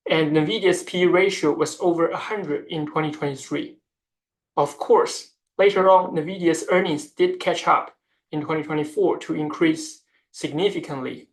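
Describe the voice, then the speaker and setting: An investment video host delivering scripted market commentary in a measured, formal, explanatory way. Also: medium pitch, low-quality recording. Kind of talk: scripted finance narration.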